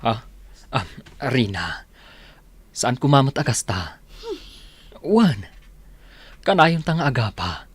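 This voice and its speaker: An actor playing an aggrieved husband who pleads with his wife, with a husky voice, in a radio drama. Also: mid-range voice, sing-song, slow pace, pauses often, no room sound, exaggerated voice.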